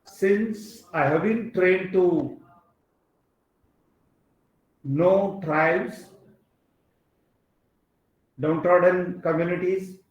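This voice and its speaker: A man with an Indian English accent, first language Kannada, delivers an academic talk over an online call. He speaks slowly in a somewhat raised voice and stops often to fill gaps with hesitations. The room around him rings with echo. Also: high-pitched. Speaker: guest speaker. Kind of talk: formal academic address. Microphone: online video call. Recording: roomy echo.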